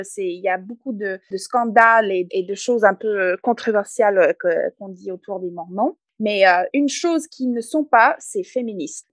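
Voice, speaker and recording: A woman's voice: medium pitch, no room sound. A woman from English-speaking Canada with an English Canadian accent, speaking as a guest author in a podcast interview, direct and pragmatic with hesitant fillers.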